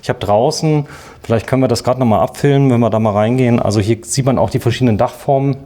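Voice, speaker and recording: A man's voice: medium pitch, fast, dark tone. A man presenting an informal, chatty walk-through interview in a show house, with a jokey manner. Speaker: TV presenter touring show homes. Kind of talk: casual interview while walking around. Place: walking around a show house.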